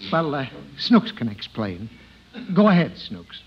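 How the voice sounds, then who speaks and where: A man's voice: mid-range voice, big pitch swings, measured pace. An actor playing a flustered, exasperated, pleading father in a 1950s radio comedy. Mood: meek. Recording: dull, faintly noisy old radio recording.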